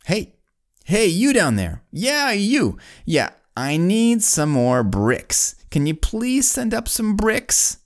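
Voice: mid-range voice, huge pitch swings, takes it slowly, voice pushed up, heavily acted. A storyteller voicing an exasperated male builder who calls down from a height and insistently repeats himself. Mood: calling out. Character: exasperated male tower builder yelling down for materials.

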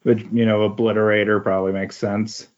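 A man's voice: fairly low pitch, flat delivery, brisk. A man chatting casually as a podcast guest, in a tentative, hesitant way. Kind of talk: casual chat. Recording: dull, lo-fi voice recording.

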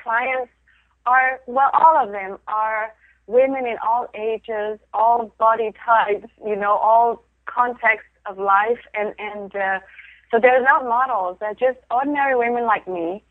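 A woman's voice: fairly high pitch, steady tone, measured pace, no echo. Laughing Danish-born woman on a phone line. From Denmark. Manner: reflective, laughs often, many restarts. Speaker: interview guest, photographer of women. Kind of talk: casual conversational interview.

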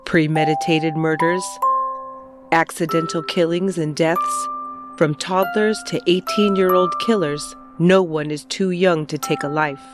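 A woman's voice: sits low, steady tone, measured pace. A woman reading a scripted true-crime podcast promo as its host, with chiming music behind her.

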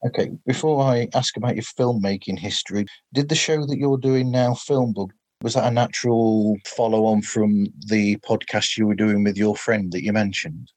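A man's voice: fairly low pitch, fairly even intonation, moderate pace, darkish tone. Man hosting a casual interview. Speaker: interview host.

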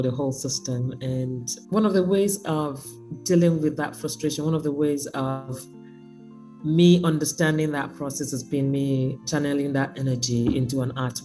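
Woman on the phone, mellow dark voice, faint music under. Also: rumbling low, low-quality recording. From Nigeria. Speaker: interview guest, artist. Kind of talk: phone interview.

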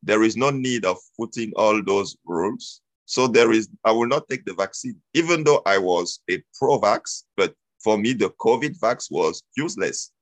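A man's voice: fairly low pitch, lively intonation, moderate pace, few pauses, no echo. A man, telling his story as an interview guest, hesitant with many fillers and restarts. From Cameroon.

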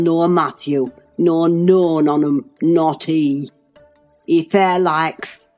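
A gruff old manservant grumbles, his voice pushed lower than the rest of the reading, as a woman puts him on. He talks slowly and sounds a little further from the mic. Faint music plays behind. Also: a little mellow. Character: gruff old male servant, rough dialect.

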